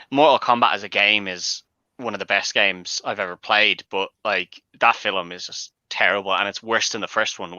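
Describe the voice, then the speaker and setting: A man's voice: lowish voice, pushed and bright, no echo. A man giving his opinions as a guest in a casual panel discussion. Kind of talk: casual chat. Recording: dry, dull lo-fi audio.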